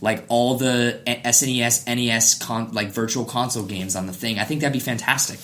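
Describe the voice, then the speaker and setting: A man's voice: medium pitch, steady tone, fairly quick, a bit bright. A man hosting a casual gaming podcast, chatting in an enthusiastic, opinionated way. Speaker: podcast host.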